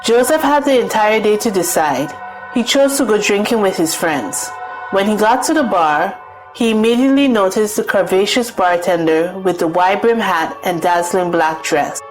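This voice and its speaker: A woman's voice: medium pitch, lively intonation, moderate pace, rough recording. A woman storyteller giving scripted, dramatic narration of a folktale. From Caribbean. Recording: music bed behind the voice.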